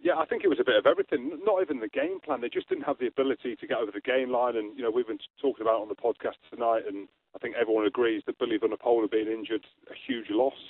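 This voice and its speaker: A man's voice: medium pitch, expressive, racing, creaky, few pauses. A man with a Scottish accent, a rugby pundit guest giving blunt, forthright opinions in a radio interview. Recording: tinny phone line.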